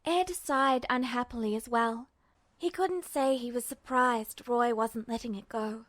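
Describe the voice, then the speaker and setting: Woman reading a story out loud, highish voice, really dry sound no room. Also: a little slow, no echo. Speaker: podfic narrator. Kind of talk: scripted fiction narration.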